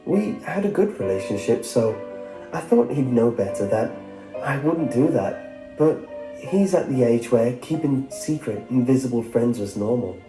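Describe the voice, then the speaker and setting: A man reading a scripted first-person story as a narrator, with a dark, muted tone. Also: mid-range voice, expressive, unhurried. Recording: roomy sound with music behind. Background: background music.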